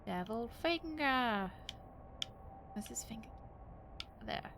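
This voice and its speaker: A woman's voice: on the high side, lively intonation, slow, gravelly, noisy background. A woman chatting casually as she streams a game, thinking aloud with wry, joking asides. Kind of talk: casual live gaming commentary.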